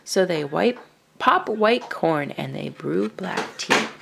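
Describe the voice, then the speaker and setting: A woman reads a picture book aloud as a storyteller. Her pitch swings widely over a slow, rhythmic delivery with some creak in the voice. There is faint noise in the background. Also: expressive. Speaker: children's storytime reader.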